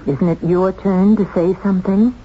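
An actress playing a shrewd, determined woman in a 1970s radio drama, with intense, deliberate delivery. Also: mid-range voice, fairly even intonation, close to an everyday voice. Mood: cool, bargaining. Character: determined, shrewd woman accused of murder, confronting the killer. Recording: muffled, noisy old radio drama tape.

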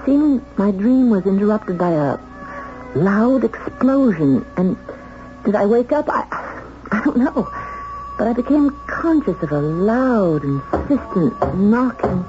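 An actress playing a prim, easily flustered but spirited young secretary in a 1970s radio drama, speaking with proper, hesitant diction. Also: female voice, mid-range voice, animated, a little slow, faint music behind, close to an everyday voice. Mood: breathless, intrigued. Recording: dull vintage radio recording.

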